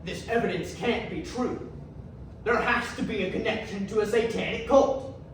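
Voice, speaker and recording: A man's voice: high-pitched, moderate pace, close to an everyday voice. A man playing a zealous, accusatory investigator in a readers theatre stage performance. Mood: disbelieving. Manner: accusatory, insistent. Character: zealous, threatening male investigator hunting satanic cults. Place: large echoey indoor room, distant mic. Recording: echoey hall from far off, noisy.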